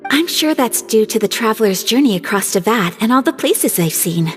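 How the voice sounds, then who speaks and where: An actress playing a gracious, refined young noblewoman in a video game, polite, formal and gently phrased. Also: a little high, lively intonation, moderate pace, big-room sound, close to an everyday voice. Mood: gracious, composed. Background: game music.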